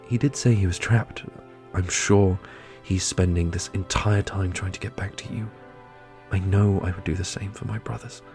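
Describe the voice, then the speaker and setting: A low, gravelly prince of a fantasy audio drama, played by a man, solemn and loyal. He speaks with earnest, heroic weight over orchestral music, every phrase set down deliberately. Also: fairly even intonation, darkish tone. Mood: steady, questioning. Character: solemn, loyal young prince and war leader, protective brother.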